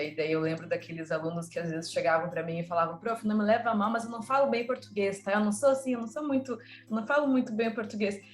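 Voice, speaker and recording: A woman with a southern Brazilian accent interviewing conversationally, searching for words and repeating phrases as she goes. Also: medium pitch. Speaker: interviewer, teacher. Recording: roomy echo, music in the mix.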